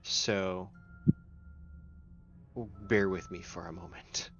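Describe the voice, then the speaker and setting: A man narrating a tabletop game as game master in a halting, informal way, with muffled sound. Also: low voice, level tone, gravelly, fairly bright tone. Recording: muffled audio over background music. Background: music.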